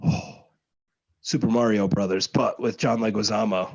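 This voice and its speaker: A man's voice: lowish voice, steady tone, normal speed, vocal fry, lo-fi sound. A man co-hosting a casual podcast, bantering with slang and plenty of swearing. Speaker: podcast co-host. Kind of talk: casual chat.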